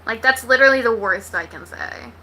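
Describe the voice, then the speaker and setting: An American woman talks casually to her livestream viewers. Her voice sits fairly high and is extremely bright and sharp. She is blunt and slangy, and she swears freely as she chats. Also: animated, a little fast, low-quality recording. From Texas, United States. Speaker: livestream host.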